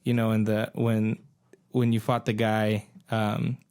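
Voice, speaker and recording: A man's voice: on the low side, monotone, a little slow, a little mellow, clear, resonant tone. Man casually hosting a podcast. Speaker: podcast host reading out a list. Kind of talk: casual group discussion.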